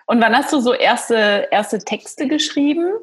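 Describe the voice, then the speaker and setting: A woman's voice: on the high side, expressive, unhurried, breathy edge. A woman interviewing her guest in a casual chat, friendly and affirming, often laughing along.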